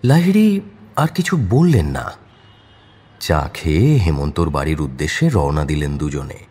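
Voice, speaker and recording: A man's voice: a bass voice, animated, a little slow, warm tone, a little hiss or hum. A man narrating a scripted crime audio story in a dramatic storytelling style.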